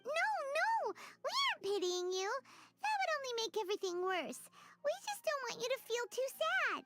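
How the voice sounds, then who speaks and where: Very high, squeaky chirpy little fairy companion. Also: female voice, sing-song, normal speed, music in the background, full character voice. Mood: flustered, concerned. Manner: refers to self in third person, exclamatory. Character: small childlike fairy companion, chirpy, blunt and caring. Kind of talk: video game character voice acting.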